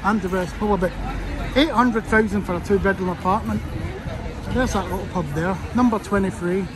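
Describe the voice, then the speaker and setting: Scottish male vlogger, highish voice, sore throat, rambling street commentary over crowd and traffic. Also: a little fast. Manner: chatty, rambling asides to viewers. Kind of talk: casual walking commentary. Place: busy city street outdoors. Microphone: handheld phone camera.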